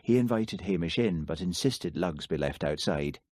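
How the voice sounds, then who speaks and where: Low-voiced man narrating an audiobook. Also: lively intonation, normal speed, a little mellow, no room sound, clean studio sound. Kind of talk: scripted narration.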